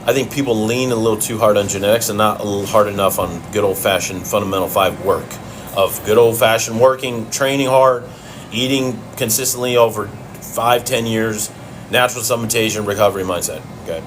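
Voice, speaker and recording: A blunt, profane man from Chicago talks in a lowish voice, answering viewers' questions at home between bites of food. An air conditioner hums steadily behind him. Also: fairly even intonation, brisk, noisy background. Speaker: fitness livestream host. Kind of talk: casual livestream Q and A.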